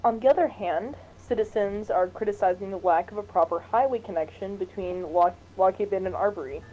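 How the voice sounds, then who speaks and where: A woman's voice: mid-range voice, fairly quick, dark tone, dull audio, faint music behind, close to an everyday voice. A woman voicing a principled female politician who speaks up for ordinary citizens in a political game. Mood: earnest, insistent. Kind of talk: amateur voiced read of game dialogue.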